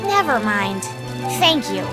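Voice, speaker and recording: A woman's voice: medium pitch, sing-song, slow, loud music behind the voice. A woman voicing an anxious, emotional teenage girl in an anime-style video game, girlish and plaintive. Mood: troubled. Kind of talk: video game voice acting in anime dub manner.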